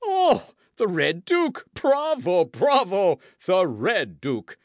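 Man acting boisterous swaggering musketeer, high, wildly swooping voice. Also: wildly swooping pitch, a little slow, no room sound, clean studio sound. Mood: gleeful, mocking. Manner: theatrical, exclamatory, swooping emphasis. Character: boisterous, boastful swaggering musketeer, loud and self-satisfied.

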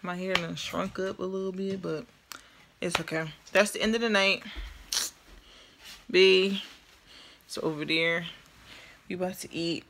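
A woman's voice: fairly low pitch, fairly even intonation, measured pace, gravelly, pauses often, a bit bright, slight background noise. A young woman in her twenties chatting casually to her phone camera on a night out, sometimes in a sing-song way. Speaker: vlogger. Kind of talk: casual vlog chat. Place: movie theater, pool hall, car, home. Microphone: phone held at arm's length.

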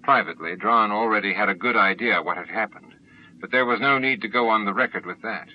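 Lowish-voiced man narrating, dull lo-fi tape. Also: fast. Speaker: audiobook narrator. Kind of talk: scripted dramatic narration. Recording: dull lo-fi books-on-tape sound with music. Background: music.

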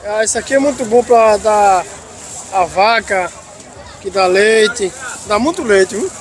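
A man with a notably high voice and a Northeastern Brazilian accent. He chats casually as a vendor at an outdoor market. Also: lively intonation, a little slow. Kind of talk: casual chat. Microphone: reporter's handheld mic held out.

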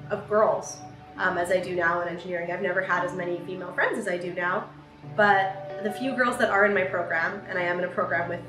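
A young woman around twenty, an engineering student, talking to camera in a chatty way with ums and restarts in a room by a large window. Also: medium pitch. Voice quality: loud voice. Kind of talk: reflective talk to camera. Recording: roomy with background music.

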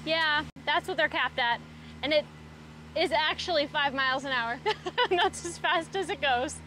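A woman's voice: sits high, a little slow, bright, forceful tone, a little hiss or hum, rough recording. A woman hosting a casual, chatty travel vlog outdoors, with an upbeat, playful manner. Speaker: vlog host. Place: outdoors, mostly on a boat on a lake.

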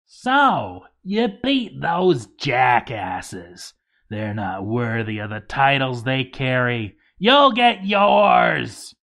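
A man voicing a menacing male goblin leader in a game story, shouting drawn-out taunts. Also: mid-range voice, big pitch swings, gravelly, full character voice. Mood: taunting, threatening. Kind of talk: acted narration of a game story.

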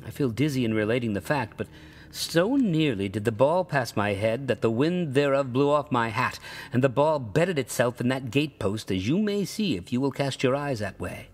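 A man's voice: medium pitch, expressive, normal speed, lightly acted. A man voicing a stubborn male toll-gatherer who tells a tall tale in a storybook reading.

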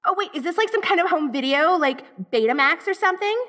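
A woman's voice: very high-pitched, lively intonation, quick pace, sharp tone. A woman playing a dorky tsundere ghost girl from the eighties in an audio roleplay, with an American valley-girl accent and a gushing, hesitant, slangy manner. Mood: curious, incredulous. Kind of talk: audio roleplay acting, speaking directly to the listener.

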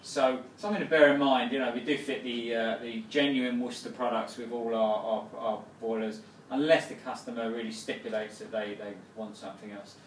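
A man's voice: medium pitch, steady tone, a little fast, recorded from a distance, a little hiss or hum. A man in his thirties who runs a plumbing business, casually explaining a new boiler in a small home kitchen, with lots of fillers and restarts. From England. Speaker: plumbing company owner. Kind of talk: casual product walkthrough. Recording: roomy kitchen sound, voice a little off-mic.

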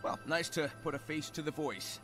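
Man as a cocky, high-pitched teen hedgehog hero. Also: normal speed, a bit bright, lightly acted. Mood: breezy. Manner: breezy, wisecracking. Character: Sonic, cocky upbeat teenage hedgehog hero. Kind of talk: animated video game cutscene acting. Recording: music under the voice.

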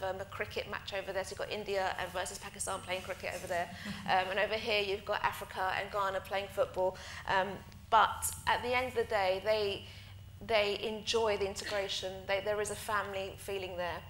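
A woman's voice: mid-range voice, fairly quick. Earnest woman teacher in an echoey hall. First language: Greek. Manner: earnest, animated, many fillers and restarts. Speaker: art teacher on a panel. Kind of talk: panel discussion answer. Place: conference hall. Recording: echoey hall with faint noise.

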